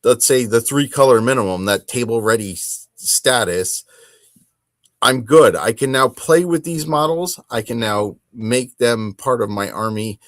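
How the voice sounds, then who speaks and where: A man's voice: mid-range voice, a little slow, dry recording, clean studio sound. A man hosting a casual solo hobby talk, conversational and emphatic, often correcting himself as he goes. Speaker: podcast host.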